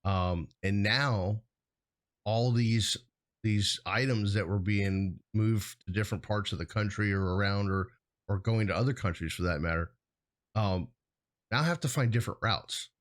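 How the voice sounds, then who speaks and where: American male co-host, fairly low pitch, casual conversational delivery. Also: unhurried. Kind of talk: casual chat.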